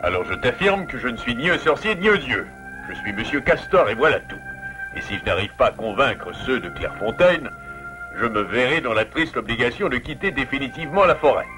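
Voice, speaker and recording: A man's voice: medium pitch, sing-song, brisk, over music, lightly acted, poor audio. A man playing a modest, honest father beaver in a French cartoon dub, earnest and emphatic in his protests. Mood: solemn, sad.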